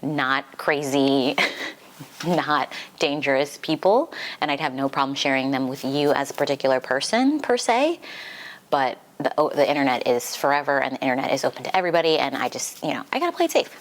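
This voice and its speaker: A woman's voice: rumbling low, animated, brisk. A young woman chatting informally and giggly in a casual vlog, saying "like" often, her voice slightly congested from getting over the flu. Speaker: book vlogger.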